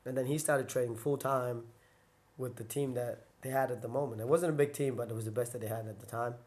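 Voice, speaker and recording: A man's voice: medium pitch, steady tone, fairly quick, a little mellow. A young man sits as the guest on an interview podcast and talks through his early fighting days. He wanders off on tangents, fills his sentences with "like," and keeps going back to correct himself. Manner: rambling, filler-heavy, self-correcting. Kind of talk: casual storytelling interview.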